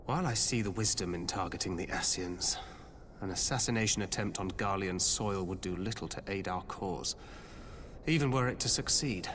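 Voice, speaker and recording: A man playing a composed spy and strategist in a video game cutscene, speaking in a measured, formal, articulate way. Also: low-pitched, fairly bright tone, a little hiss or hum. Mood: grave, calculating. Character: composed male spy and strategist laying out plans.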